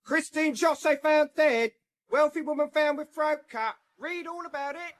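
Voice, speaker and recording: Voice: high voice, normal speed, a bit bright. An actor in a radio drama playing a chirpy, gossipy street newspaper seller who calls out headlines in a sing-song cry. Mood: excited hawking.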